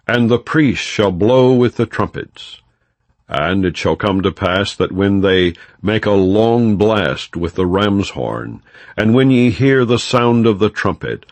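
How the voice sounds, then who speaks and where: A man reading scripture as an audiobook narrator, in a solemn, measured, non-dramatized style. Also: low voice, no echo.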